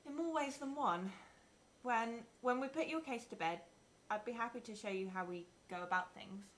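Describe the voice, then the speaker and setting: A woman's voice: fairly high pitch, normal speed. A woman playing a dry-witted investigator and reading stage directions at a live sitcom table-read. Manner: dry, deadpan wit. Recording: live theatre with faint noise.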